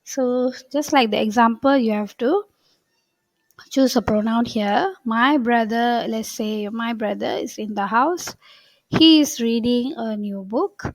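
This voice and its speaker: A woman's voice: on the high side, unhurried, no echo. A woman English teacher going over workbook answers in a patient, step-by-step way, often saying "okay" between points.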